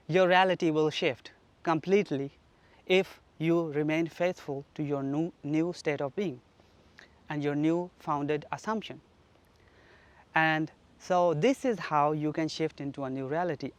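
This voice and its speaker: A man from Pakistan giving an earnest, reflective spoken teaching at home, his sentences often trailing off softly. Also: on the high side. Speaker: spiritual teacher. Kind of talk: solo spoken teaching on manifestation. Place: flat.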